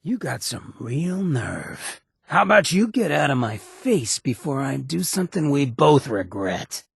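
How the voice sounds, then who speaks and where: An actor playing a swaggering, sardonic ghoul gangster companion in a video game, with a gravelly rasp and a wry, streetwise drawl. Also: male voice, mid-range voice, very animated, unhurried, no echo, clean studio sound, full character voice. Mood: annoyed, threatening. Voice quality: gravelly, raspy.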